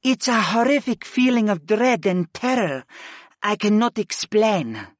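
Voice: medium pitch, lively intonation, a little slow, no echo, studio quality, pitched above the performer's own voice. A narrator voicing a devoted, lovesick goddess with a sulky streak in a fantasy audiobook. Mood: dread.

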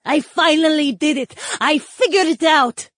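A man narrating a storybook, voicing a deranged woman with a raspy, high voice who cackles and makes slow accusations. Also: a bit bright, no room sound, studio quality. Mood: triumphant.

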